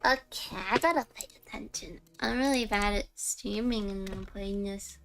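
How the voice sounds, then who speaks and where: A woman's voice: mid-range voice, normal speed, gravelly, fairly bright tone. A woman streaming a video game, chatting casually in a rambling, self-interrupting way. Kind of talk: casual chat while gaming. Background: game music.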